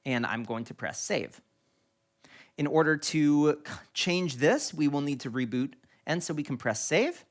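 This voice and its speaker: A man's voice: fairly high pitch, sing-song, a little slow. A man hosting an instructional product tutorial, explaining things step by step. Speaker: tutorial video host.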